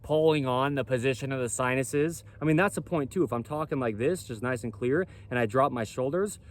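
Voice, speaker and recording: A man with a boomy, projecting voice, a clothing company founder explaining in an emphatic monologue that keeps checking in with "right?" and "okay?". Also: brisk. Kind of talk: self-recorded explainer monologue.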